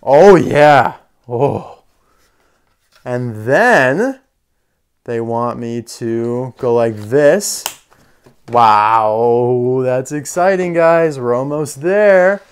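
A man with a clear, smooth voice talks casually through a hands-on toy demonstration. His pitch sits in the middle range. He is chatty and unscripted, thinking aloud as he works. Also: animated, drawn-out words. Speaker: toy reviewer. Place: indoor room full of toy displays.

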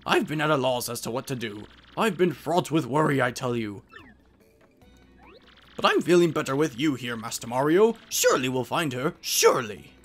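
A man voicing a fretful, genteel elderly male Toad steward in a video game, with pompous, huffing gentlemanly phrasing. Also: medium pitch, sing-song, moderate pace, pitched below the performer's own voice. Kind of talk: video game dialogue voice acting. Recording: game music behind the voice.

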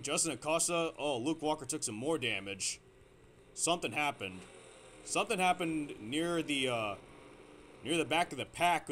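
A man giving play-by-play commentary on a simulated stock car race, getting excited at close moments and restarting sentences with fillers. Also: fairly high pitch, a little hiss or hum. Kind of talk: amateur sim racing play-by-play commentary.

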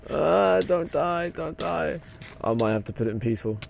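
A young man with a croaky voice, rambling and swearing casually while narrating his gameplay. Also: a little high, expressive, normal speed, slight background noise. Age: young adult. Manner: rambling, swears often, mutters to himself. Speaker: game video maker.

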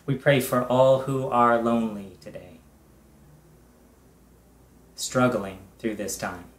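A middle-aged man, a pastor, leading a prayer in a measured, reverent way, in short phrases with long pauses. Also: mid-range voice. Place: indoors, small room with bare wall. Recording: echoey room.

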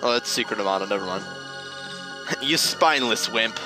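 A man's voice: lowish voice, expressive, moderate pace. A man giving joking, rambling commentary while playing a video game. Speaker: let's play host. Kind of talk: casual gaming commentary. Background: game music.

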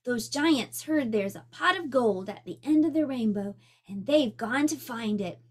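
A woman's voice: fairly high pitch, animated, unhurried. A woman voicing a timid young boy turtle who worries about storms, talking small and hesitantly in a storybook. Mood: cheerful.

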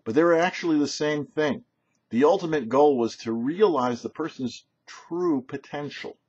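An older man giving a short religious teaching as a rabbi, emphatic, with rhetorical questions and pauses. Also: a little high, darkish tone, breathy edge. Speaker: rabbi and host of a weekly Torah show. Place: small home office, indoors. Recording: dull, lo-fi webcam sound.

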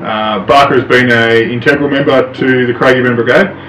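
A middle-aged man, officer in charge of a fire station, giving a formal, steady testimonial to camera in a small office. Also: medium pitch, brisk, a little hiss or hum. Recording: roomy, distant, dull audio.

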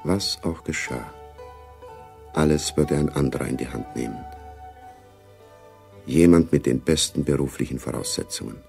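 A man narrating a scripted radio spy drama in a composed, gravelly voice. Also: a bass voice, moderate pace, pauses often, a little mellow, soft background music. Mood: measured. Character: composed male narrator of a Cold War spy story.

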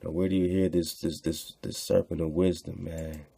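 A man's voice: sits low, little pitch movement, normal speed, creaky, warm tone, breathy edge, dry recording. A man hosting a radio show, reading texts aloud with informal commentary, in a rhetorical, preacher-like way, repeating phrases for emphasis.

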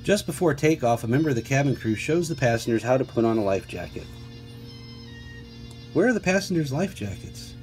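Casting: male storyteller, mid-pitched, deliberate children's read-aloud with chatty asides and playful questions, music underneath. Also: animated, darkish tone. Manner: clear, deliberate reading with playful questions to young listeners. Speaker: teacher reading a picture book aloud.

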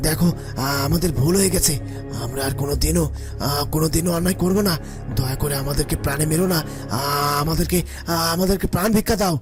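A man's voice: on the high side, fast, pauses often, music in the background, natural voice. A man playing a cowardly bandit in a fairy-tale cartoon, stammering and repeating himself. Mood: begging. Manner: stammering, pleading, repetitive.

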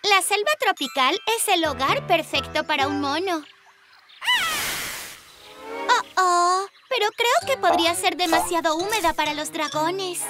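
Brief: plucky little cartoon girl, very high, lively delivery, earnest and cheerful. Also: unhurried, loud music behind the voice. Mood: earnest, bright. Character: brave, cheerful little girl with a magic pet. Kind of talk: Spanish-dubbed children's cartoon.

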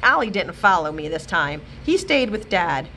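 A woman librarian reading a children's chapter book aloud with expressive, animated storytelling. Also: on the low side, moderate pace, a little hiss or hum. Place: library, indoors.

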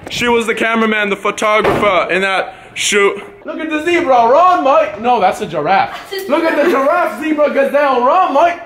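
A man playing a brash, bumbling male wildlife poacher in an improvised comedy skit, excitable and tacking "mate" onto everything. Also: extremely high pitch, moderate pace, slight background noise, voice pushed up. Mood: boastful. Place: school building, indoors. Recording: echoey halls with crowd chatter. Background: students chattering.

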